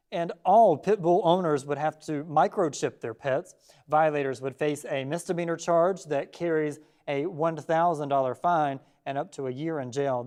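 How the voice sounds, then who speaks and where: A man's voice: a little high, darkish tone. Male local TV news anchor. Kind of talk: scripted local newscast narration. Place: television news studio.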